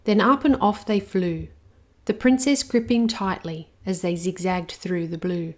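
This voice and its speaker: A woman reading a rhyming children's picture book aloud, expressive and even. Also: on the low side. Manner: slow, expressive rhymed reading. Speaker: storyteller.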